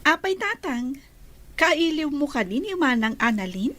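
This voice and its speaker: A woman's voice: high voice, very animated, unhurried, fairly bright tone, slight background noise, exaggerated voice. A woman playing a teasing younger sister in a radio drama, with an Ilocano accent.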